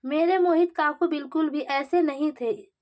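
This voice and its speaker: An earnest young man, voiced by a woman narrator speaks in a high pitch. He is determined to honor a promise, in a serial drama retelling. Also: steady tone, normal speed, a little mellow, natural voice. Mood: earnest, insistent.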